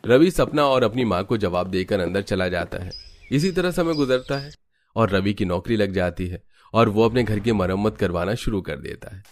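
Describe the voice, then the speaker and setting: A man's voice: on the low side, expressive, moderate pace. A man narrating a scripted cartoon family story.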